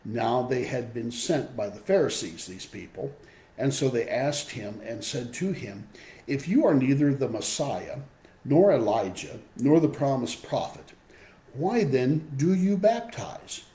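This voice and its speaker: A man in his sixties with a hoarse voice from a cold, teaching an adult Bible class on a webcam and reading scripture aloud. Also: medium pitch, very animated, measured pace, dark tone, reverberant, rough recording. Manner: explanatory, reads scripture aloud. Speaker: Sunday school teacher. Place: home room with window. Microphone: webcam video call.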